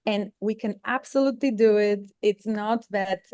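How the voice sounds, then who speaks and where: A woman's voice: mid-range voice, moderate pace, warm tone, no room sound, studio quality. A woman from Poland with a Polish accent, speaking English as her second language, telling her story earnestly as an interview guest. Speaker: guest author. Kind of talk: interview conversation.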